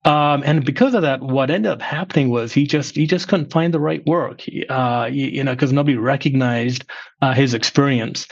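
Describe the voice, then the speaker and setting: A middle-aged man from England who now talks with a slightly Midwestern American accent, giving measured explanations as an interview guest. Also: mid-range voice, gravelly, lo-fi sound. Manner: measured, explanatory, frequent "uh" fillers. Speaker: guest, former finance chief. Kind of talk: podcast interview.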